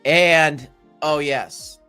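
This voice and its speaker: A man hosting a casual crypto livestream, hoarse and losing his voice, joking and repeating himself while talking to his chat. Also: fairly high pitch, steady tone, very slow, bright, forceful tone, faint music behind. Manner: jokey, repeats phrases, talks to chat. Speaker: crypto show host.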